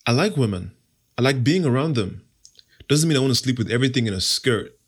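A man's voice: medium pitch, expressive, fairly quick, husky. A young man from Nigeria, an Igbo speaker, answering interview questions in a confident, playful, self-assured way. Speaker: podcast host, interview guest.

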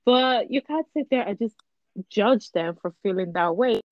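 A woman's voice: fairly high pitch, normal speed, no echo, lo-fi sound. A woman chatting candidly in a casual conversation, livening up as she tells anecdotes.

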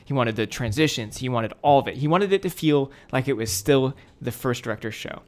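A man's voice: medium pitch, lively intonation, a little fast. A young American man co-hosting a podcast, chatting casually in a slangy, opinionated and blunt way. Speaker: podcast co-host. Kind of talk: casual chat.

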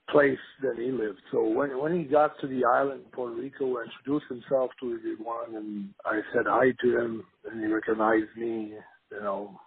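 A man's voice: mid-range voice, gravelly, husky. A man in his fifties from Montreal with a Quebec French accent, a former wrestler rambling through his story with lots of "you know" fillers. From Montreal, Canada. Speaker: former pro wrestler, guest. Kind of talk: casual interview storytelling. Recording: tinny phone line.

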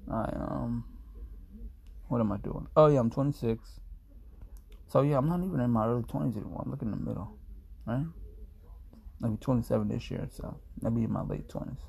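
A man's voice: medium pitch, brisk, vocal fry, pauses often, a little mellow, breathy edge. A man in his twenties talking to camera in a laid-back, meandering, self-mocking way, sounding sleepy. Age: 20s. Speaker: vlogger. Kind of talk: rambling casual monologue to camera.

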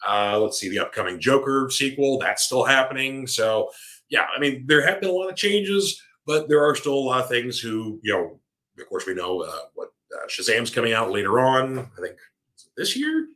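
Male host, bright tense tone, slightly hoarse and worn, rambling casual chatter. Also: medium pitch, lively intonation, normal speed. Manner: rambling, with many fillers and restarts. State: voice tiring, a little hoarse. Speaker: podcast host. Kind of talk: casual solo chat reading listener comments. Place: home room with a cat nearby.

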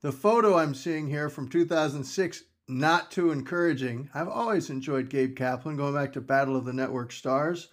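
Male co-host, slightly high voice, jokey and rambling, casual podcast banter. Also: moderate pace, few pauses. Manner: joking, rambling, laughs between lines. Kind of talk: casual chat.

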